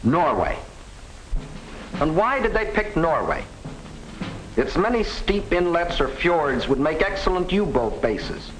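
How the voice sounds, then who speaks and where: A man narrating a 1940s propaganda film with emphatic, deliberate phrasing, on a vintage film soundtrack. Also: a little high, a bit bright, slight background noise, poor audio. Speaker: documentary narrator.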